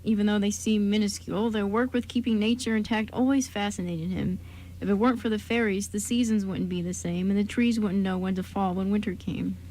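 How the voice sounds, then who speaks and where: Medium-pitched woman narrating a radio play. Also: steady tone, fairly quick, darkish tone, low-quality recording. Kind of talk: scripted story narration.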